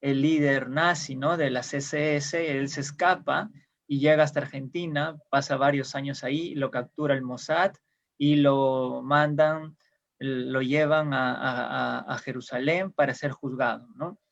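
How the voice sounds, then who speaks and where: Gravelly-voiced man on a lo-fi call. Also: medium pitch, fairly even intonation, unhurried. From Peru. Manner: hesitant, many fillers and restarts. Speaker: guest. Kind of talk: casual conversational explanation.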